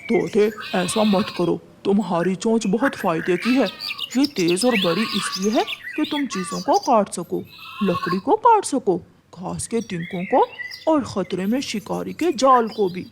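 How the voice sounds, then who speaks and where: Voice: mid-range voice, expressive, unhurried, gravelly, noisy background. A performer voicing a village farmer man who advises plainly in a children's cartoon. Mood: advising.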